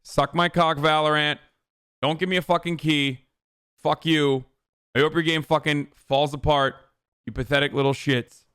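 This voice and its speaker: A man's voice: on the high side, flat delivery, moderate pace, pauses often, pushed and bright, clear, resonant tone. A man giving casual streamer commentary, ranting with plenty of profanity.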